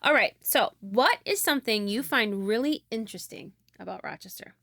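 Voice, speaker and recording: A woman's voice: mid-range voice, expressive, moderate pace, gravelly, fairly bright tone, no echo. A woman hosting a podcast in a studio, chatting casually with guests and laughing often. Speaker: podcast host. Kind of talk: casual interview chat.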